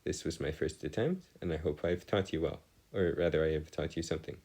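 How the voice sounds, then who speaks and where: A man tutoring in an informal maths lesson, with formal wording and a halting, self-correcting delivery. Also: a bass voice, dark tone, slightly rough. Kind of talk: informal maths lesson over a digital whiteboard.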